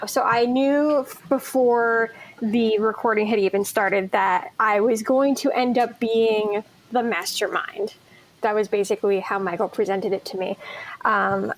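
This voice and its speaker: A woman's voice: on the high side, low-quality recording. A woman who acts in audio drama, talking casually as an interview guest. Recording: clear background room noise.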